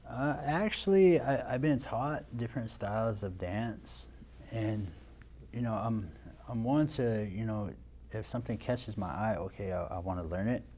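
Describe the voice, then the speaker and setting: A man in his thirties talks easily into a lapel mic on a studio set. His voice sits very low and sounds mellow. He rambles, restarting sentences and leaning on "you know", over a faint hiss behind him. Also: measured pace. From Nebraska, USA. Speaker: guest, dance instructor. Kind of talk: casual interview talk.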